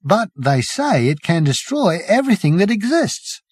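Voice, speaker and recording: Calm, assured woman acted by a man, soft, soothing audiobook dialogue, dry close sound. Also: high-pitched, normal speed, slightly rough, dry recording, pitched above the performer's own voice, full character voice. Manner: quiet, gentle. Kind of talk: audiobook character voice.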